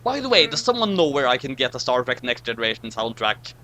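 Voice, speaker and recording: A man hosting a casual commentary in a rambling, animated way. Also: mid-range voice, fast talker. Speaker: host and commentator. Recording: noisy amateur recording with hum.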